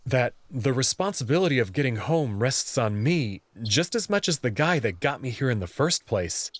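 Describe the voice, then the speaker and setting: A man reading a visual novel's first-person narration aloud, with an earnest, expressive storytelling delivery. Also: mid-range voice, normal speed, no room sound.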